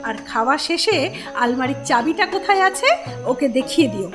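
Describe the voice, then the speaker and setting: A woman's voice: high-pitched, expressive, fairly quick, over music. A woman voicing a long-suffering, sarcastic housewife in a cartoon, scolding dramatically. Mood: bitter sarcasm.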